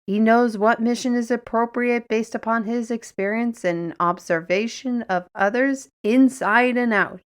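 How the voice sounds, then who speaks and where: Slow, high-voiced, sardonic fantasy guide played by a woman. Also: lightly acted. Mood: informative. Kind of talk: fantasy audio roleplay acting.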